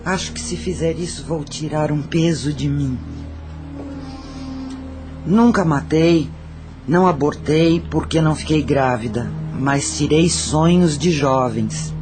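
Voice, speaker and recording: A man's voice: on the high side, slow. A man playing a murdered brothel madam who unburdens herself reflectively in an audio drama. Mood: burdened, reflective. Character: murdered brothel madam with intuitions, burdened, confessional. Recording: music under the voice.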